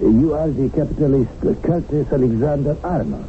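An actor playing a fanatical revolutionary kidnapper in a radio drama, stern, dogmatic and formal. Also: male voice, mid-range voice, brisk, close to an everyday voice. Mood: menacing, cold. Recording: noisy, tinny old radio broadcast.

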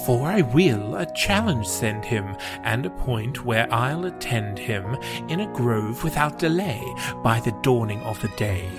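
Slow, measured man reciting verse over music. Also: medium pitch, animated. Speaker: poetry reader. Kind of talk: dramatic poetry recitation. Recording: music under the voice.

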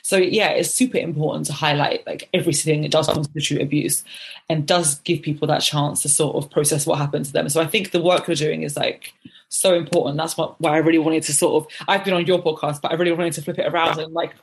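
A woman's voice: deep voice, fairly even intonation, fast, slightly rough. A young adult woman hosting a podcast interview, encouraging and affirming her guest. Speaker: podcast host.

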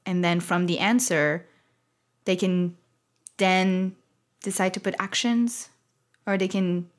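A woman hosting a casual, reflective interview, speaking in a measured, step-by-step way. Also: lowish voice, steady tone. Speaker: interviewer, host.

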